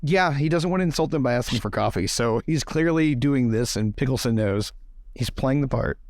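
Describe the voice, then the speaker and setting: A man's voice: mid-range voice, animated, fairly quick, darkish tone, dry recording, studio quality. A man playing a tabletop game, chatting casually about what his character does.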